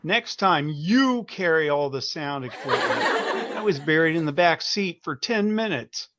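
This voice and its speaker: A man playing a small, self-important press correspondent in a comic audio drama, brisk and boastful in manner. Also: on the high side, animated, bright, forceful tone, slight background noise. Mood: wry, animated.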